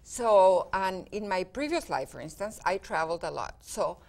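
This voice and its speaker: A woman from Costa Rica, a guest artist, answering interview questions in a calm, articulate way. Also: medium pitch, normal speed.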